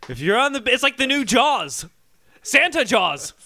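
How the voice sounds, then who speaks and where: A man hosting a comedy podcast, bantering casually and sometimes reading a story aloud in an excitable, mock-earnest way. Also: extremely high pitch, measured pace, dry recording.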